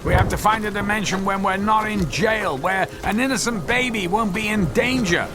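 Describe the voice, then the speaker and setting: An actor playing an anxious, guilt-ridden inventor in a radio drama, speaking hesitantly with stammers. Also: male voice, high voice, normal speed, lightly acted. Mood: desperate, urgent. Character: anxious, guilt-ridden male inventor hopping between dimensions.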